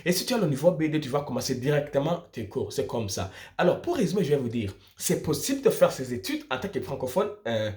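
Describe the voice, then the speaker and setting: A man's voice: on the high side, sing-song, fast, few pauses, a little mellow. A young man from Burundi, explaining things informally to viewers in an emphatic way, repeating and rephrasing his points. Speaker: student vlogger giving study-abroad advice.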